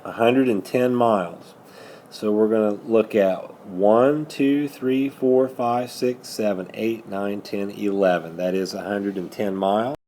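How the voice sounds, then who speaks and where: A man's voice: on the low side, fairly even intonation, a little slow, mellow, a little hiss or hum. A man working methodically through a math lesson as a teacher, patiently prompting students with questions. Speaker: high school algebra teacher.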